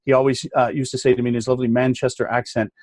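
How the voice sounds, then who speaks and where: A man's voice: mid-range voice, flat delivery, racing, a little mellow, dry recording. Middle-aged male coach, mid-range voice, punchy and motivational, dry close-miked sound. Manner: emphatic, motivational, speaks in punchy lists. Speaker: guest, mindset coach. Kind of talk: podcast interview.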